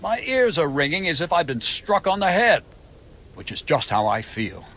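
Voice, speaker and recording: A man's voice: fairly high pitch, big pitch swings, normal speed, a bit bright, exaggerated voice. A man playing a stern, grumbling knight commander in a medieval video game. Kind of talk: video game dialogue acting.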